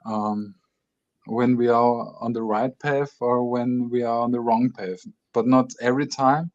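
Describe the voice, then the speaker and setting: Hesitant caller from Austria, dull line. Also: male voice, mid-range voice, steady tone, a little slow. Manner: hesitant, many fillers, soft-spoken. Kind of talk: casual call-in chat. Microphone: remote call audio. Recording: soft, dull call line.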